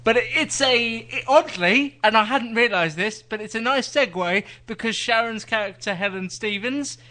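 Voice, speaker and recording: A man co-hosting a TV podcast, talking through new shows in easy chat with dry, teasing humor. His voice sits high for a man and sounds bright and forward. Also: moderate pace. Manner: chatty, dry teasing asides. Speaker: podcast co-host, TV reviewer.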